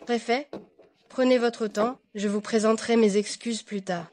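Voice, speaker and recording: Higher-voiced, placating young wife. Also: female voice, steady tone, a little slow, a little mellow. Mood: embarrassed, placating. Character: young wife, placating, polite, mildly exasperated. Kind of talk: dubbed melodrama acting.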